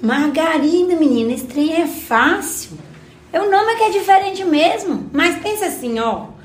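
Radio drama role, for a woman: wise, folksy old grandmother, Minas Gerais accent, high-pitched, roomy sound. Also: expressive, measured pace. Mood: patiently explaining. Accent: Brazilian, Minas Gerais. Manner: folksy, motherly, explanatory, exclamatory. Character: Dona Joaninha, spirited older village grandmother, folksy and wise. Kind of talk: scripted community radio drama.

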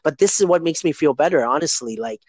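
A man's voice: on the high side, lively intonation, quick pace, dry recording. A man hosting a daily podcast, chatting casually in a rambling way and often tagging "right?" onto his points. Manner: rambling, keeps asking "right?". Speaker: podcast host.

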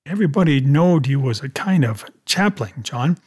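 A man voicing a surly, impatient pirate coxswain with growling, rough sailor speech in an adventure audiobook. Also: mid-range voice, expressive, normal speed, a little mellow. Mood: defiant.

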